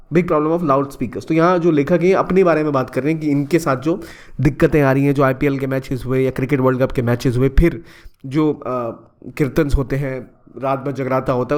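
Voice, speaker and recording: A man's voice: a little high, darkish tone. Conversational young Indian man explaining. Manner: conversational, mixes English phrases into speech, self-corrects mid-sentence. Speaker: exam-prep educator. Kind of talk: explanatory current-affairs talk.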